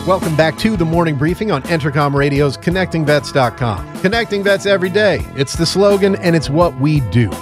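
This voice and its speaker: A man's voice: fairly high pitch, animated, brisk, hardly any pauses, over music. A man hosting a morning radio show, talking in an upbeat, chatty way and plugging the show's website between segments.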